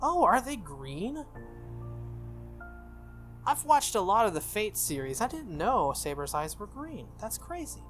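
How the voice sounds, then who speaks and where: Gamer reading a visual novel out loud, chatty asides, mid pitch voice, music in the back. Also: unhurried, pauses often. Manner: informal, expressive reader who breaks off to comment. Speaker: gaming video host. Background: background music.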